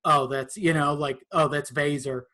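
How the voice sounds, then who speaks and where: A man speaking as a guest in a casual podcast interview, explaining things in a halting way. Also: fairly high pitch, fairly even intonation, bright, forceful tone. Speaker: podcast guest, content creator.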